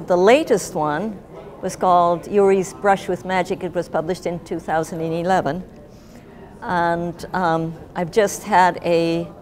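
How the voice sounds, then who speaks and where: An older woman giving casual interview answers in a reflective, gently humorous way. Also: fairly low pitch. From Japan. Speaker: guest, artist and writer.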